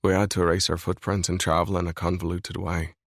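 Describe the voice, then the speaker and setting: A man voicing a brooding, controlled mafia boss in a scripted audiobook reading, low and grave. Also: little pitch movement, brisk, vocal fry, no echo, studio quality. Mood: grim. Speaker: male lead voice in audiobook.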